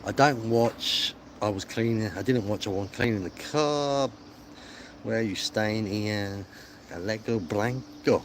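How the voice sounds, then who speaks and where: A man's voice: fairly low pitch, measured pace. A man chatting casually to a live stream, rambling and matey, with a self-deprecating way of talking. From England. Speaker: livestreaming travel vlogger. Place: outdoors on streets, walking. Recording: clear outdoor street noise, traffic.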